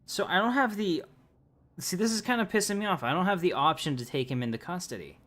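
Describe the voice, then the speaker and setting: A man's voice: high-pitched, fast talker, fairly bright tone. A man casually commenting on his gameplay during a livestream, chatty and joking with viewers. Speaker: livestream gamer.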